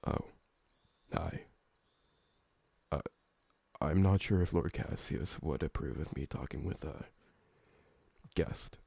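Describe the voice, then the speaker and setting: A man playing a gentle, awkward, polite old vampire servant who talks hesitantly and deferentially in an ASMR roleplay. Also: rumbling low, slow pace, gravelly, pauses often, slightly rough, no room sound, heavily acted. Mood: flustered, polite. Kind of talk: ASMR roleplay audio drama.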